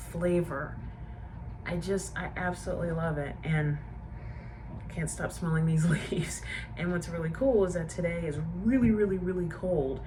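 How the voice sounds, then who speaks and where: A woman with a stuffy, nasal voice from a cold, hosting a tea vlog in a gentle, savoring way and leading a guided breathing meditation. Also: lowish voice, noisy background. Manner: gentle, savoring, softly enthusiastic. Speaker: tea and book channel host.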